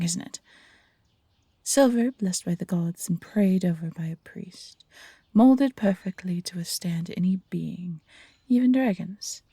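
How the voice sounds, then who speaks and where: A woman voicing a smug, menacing bounty hunter in an audio roleplay, taunting and condescending in an unhurried way. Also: lowish voice, gravelly, mellow, husky, dry recording, clean studio sound, full character voice. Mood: smug gloating.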